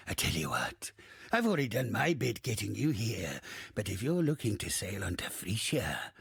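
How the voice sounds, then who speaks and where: Salty undead old pirate captain, video game role: gravel-throated, slow-rolling, swaggering and foul-mouthed. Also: male voice, a little high, fairly bright tone, no echo, studio quality, exaggerated voice. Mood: hearty, boastful. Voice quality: gravelly, husky, raspy. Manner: archaic pirate dialect, swaggering, theatrical, cursing. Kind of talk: video game character acting.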